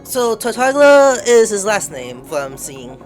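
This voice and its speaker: A woman's voice: mid-range voice, big pitch swings, takes it slowly. A woman giving casual playthrough commentary, reacting off the cuff and musing aloud. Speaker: game streamer. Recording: game music behind the voice.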